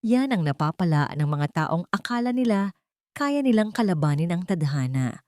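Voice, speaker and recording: A woman's voice: on the low side, sing-song, moderate pace, warm tone, dry recording. A narrator woman performs a vengeful villainess in an audio drama. She is proud and menacing, in a dry, close recording. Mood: gloating. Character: vengeful, gloating young villainess fiancée.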